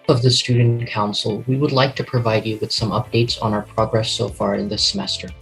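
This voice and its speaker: A teenage boy prefect reads student council updates at a school assembly. His pace is quick and his tone formal. Faint music sits in the background. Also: mid-range voice. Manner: brisk, formal reading.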